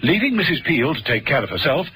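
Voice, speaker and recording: A man's voice: a little high, sing-song, quick pace, music in the background. A man reading scripted dramatic narration for a thriller story.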